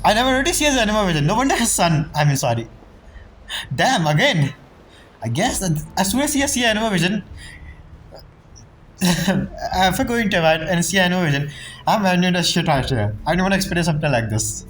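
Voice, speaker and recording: A man streaming his reactions to a game story, excitable, exclaiming and swearing. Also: high voice, fairly quick, slight background noise. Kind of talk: live gameplay reaction. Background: game music.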